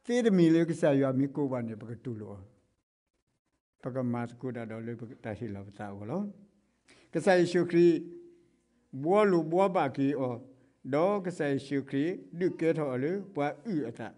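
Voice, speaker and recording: A middle-aged man preaches with a Karen accent, his voice sitting fairly high for a man. He swings between ringing exclamations and hushed, earnest passages while gesturing. He speaks close to a stand mic on a church stage. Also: lively intonation, measured pace. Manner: impassioned, swinging between loud exclamations and quiet speech, gesturing. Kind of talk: sermon. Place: church stage before blue curtain. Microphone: stand mic close to mouth.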